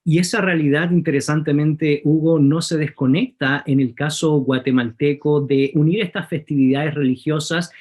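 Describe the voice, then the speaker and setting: A man hosting a televised panel discussion, speaking in a measured, formal way and addressing the guests by name. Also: on the high side, a little mellow. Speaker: TV talk show host.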